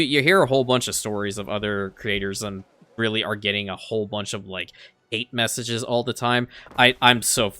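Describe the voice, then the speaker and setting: A man whose first language is Farsi talks through a city-building game in a relaxed, chatty way. His voice is bright and forward, and it often stumbles into a repeated word before he carries on. The sound is close and dry, with no room echo. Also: on the low side. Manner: chatty, repeats words as he restarts sentences. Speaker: let's play creator. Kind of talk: casual gameplay commentary.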